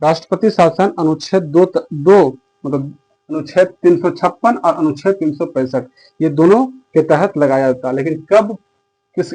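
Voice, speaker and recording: A man's voice: fairly high pitch, warm tone, husky, rough recording. An Indian man teaching exam students in an explanatory slide lecture, repeating key phrases for emphasis.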